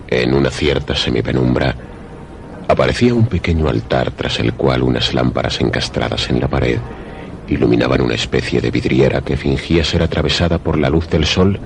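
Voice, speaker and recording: A man narrating a scripted suspense radio play in a measured, literary storytelling manner. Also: very deep voice, creaky. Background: music under the voice.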